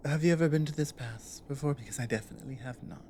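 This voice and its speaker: A woman playing a guarded but loyal female orc warrior in an ASMR roleplay, speaking in a halting, earnest, plainly worded way. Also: extremely low pitch, noisy background. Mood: earnest, tender.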